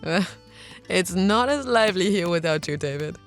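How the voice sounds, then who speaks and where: A woman playing a caring, gently firm mother in an audio drama, with a warm, slightly hesitant manner. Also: lowish voice, lively intonation, moderate pace, soft background music. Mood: gently coaxing.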